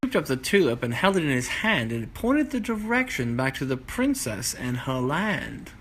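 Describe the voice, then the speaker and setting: A man's voice: medium pitch, big pitch swings, normal speed, a bit bright. A man narrating a playful rhyming fairytale as the storyteller and host.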